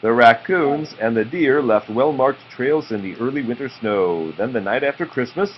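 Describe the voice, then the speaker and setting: A man reading a children's picture book aloud to his child in a slow, drawn-out storytelling way, with informal asides. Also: mid-range voice, lively intonation. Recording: muffled home recording with background noise.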